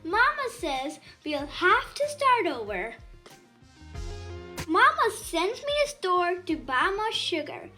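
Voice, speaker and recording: A woman reading a children's picture book aloud in an animated, expressive storytelling way. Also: extremely high pitch, takes it slowly, faint music behind. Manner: animated, dramatizes dialogue.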